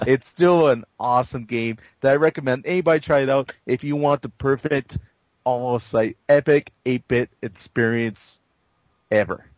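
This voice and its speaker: A man's voice: mid-range voice, moderate pace, no echo, poor audio. A man co-hosting a casual game review chat, enthusiastic with self-deprecating asides. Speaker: co-host of a game review show. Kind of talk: casual gaming discussion.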